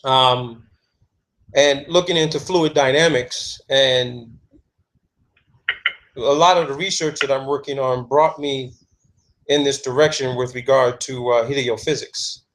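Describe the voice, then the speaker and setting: A man's voice: mid-range voice, steady tone, a little slow, pauses often, a bit bright. A man calling to ask questions, stammering and eager as he talks. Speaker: caller. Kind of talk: phone conversation.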